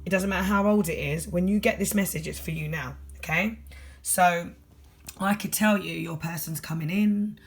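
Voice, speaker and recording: A tired tarot reader with a husky voice and a London accent, talking fast and bluntly through a general tarot reading. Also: mid-range voice.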